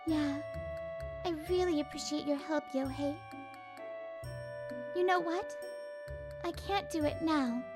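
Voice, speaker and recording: A woman voicing a blunt, outspoken wronged wife who scolds hard and confides softly. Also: sits high, slow, long pauses, over music. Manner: sharp and scolding, soft when confiding. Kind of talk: manga video voice acting.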